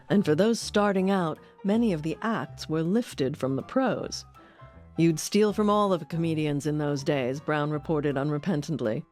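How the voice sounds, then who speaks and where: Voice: medium pitch, darkish tone. A documentary narrator reading a scripted history.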